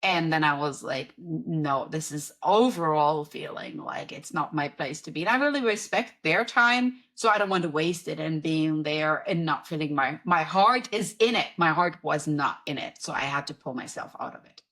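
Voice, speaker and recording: A woman's voice: low voice, moderate pace, few pauses, a bit bright. A woman from Germany with a German accent, a coach telling a story as a guest on a video-call interview and acting out her own reactions. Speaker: guest, business and wellness coach. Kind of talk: casual interview talk.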